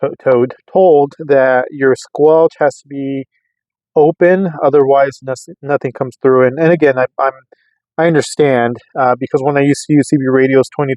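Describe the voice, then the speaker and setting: A man's voice: medium pitch, steady tone, normal speed, a little mellow, no echo, dull audio. A middle-aged man talking casually to camera as he tests gear, rambling and circling back to restate his points. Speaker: radio enthusiast vlogger. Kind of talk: casual rambling product test talk to camera. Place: in a vehicle on a road test.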